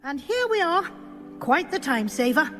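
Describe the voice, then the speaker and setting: An actress playing a brisk, exacting witch professor in a video game, with a British accent and a crisp, formal schoolmistress manner. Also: sits high, sing-song, a bit bright, soft background music. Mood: brisk, instructive. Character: brisk, exacting female witch professor guiding a new student. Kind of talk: video game character dialogue.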